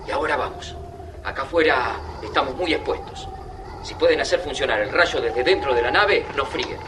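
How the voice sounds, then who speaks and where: An actor with an Argentine accent playing a thoughtful, wary resistance fighter in a radio drama, reasoning in a deliberate, foreboding way. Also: male voice, mid-range voice, expressive, lightly acted. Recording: clearly noisy sound-effect ambience behind.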